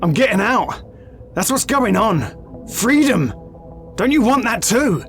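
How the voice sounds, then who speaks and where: A man's voice: sits high, big pitch swings, slow, a bit bright, faint music behind, heavily acted. A man playing a cynical, defiant veteran soldier in an audio drama, insistent and persuasive, pressing with pointed questions. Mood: calm, coaxing.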